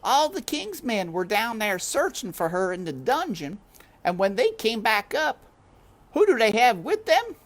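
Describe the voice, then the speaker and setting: A woman's voice: on the high side, sing-song, unhurried, voice pushed up. A woman voicing a tall, stern female housekeeper who talks sharply and scornfully. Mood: indignant.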